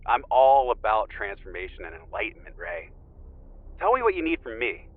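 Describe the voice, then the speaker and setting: An actor playing a mellowed, gently teasing Zen teacher, a guest heard through a call filter in an audio drama. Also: male voice, fairly low pitch, very animated, moderate pace, phone-quality sound. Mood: easygoing. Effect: remote call filter. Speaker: podcast guest. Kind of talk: audio drama phone interview. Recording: band-limited, radio-like call sound.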